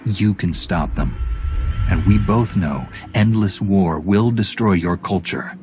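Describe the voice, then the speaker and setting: Casting: resolute male alliance commander, very low voice, earnest, music behind. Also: slow pace. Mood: earnest, firm. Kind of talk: cinematic video game acting.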